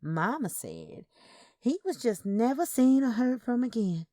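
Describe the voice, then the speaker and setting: A woman's voice: fairly high pitch, very animated, a little slow, mellow, no room sound, clean studio sound, voice pushed up. A woman voicing a gossipy young Southern mother, drawling and conspiratorial, in a story.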